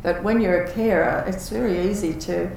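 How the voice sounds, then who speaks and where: A woman's voice: fairly low pitch, moderate pace, gravelly, darkish tone, heavy reverb. Older woman, earnest, reflective, far from the mic, faint background noise. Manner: earnest, reflective, with restarts and quoted dialogue. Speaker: former carer, guest. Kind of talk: reflective conversation.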